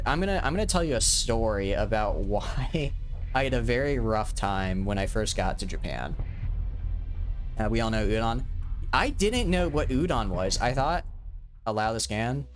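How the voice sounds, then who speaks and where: A man's voice: mid-range voice, lively intonation, measured pace, soft background music. A young man in his twenties, live-streaming a game and chatting casually, rambling with lots of restarts and fillers. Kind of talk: casual live-stream chat while gaming.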